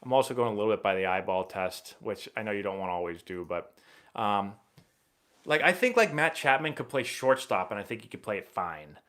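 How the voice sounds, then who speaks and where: Rambling excitable man hosting a baseball stream. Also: fairly low pitch, fairly quick. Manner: rambling and excitable, many fillers and restarts. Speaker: host of a live baseball Q&A stream. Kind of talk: casual live-stream chat answering viewer questions.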